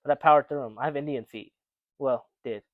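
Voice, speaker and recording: A man's voice: mid-range voice, steady tone, brisk, no echo, narrow, tinny audio. A young man co-hosting a casual podcast chat, rambling with lots of fillers and restarts. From United States. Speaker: podcast co-host.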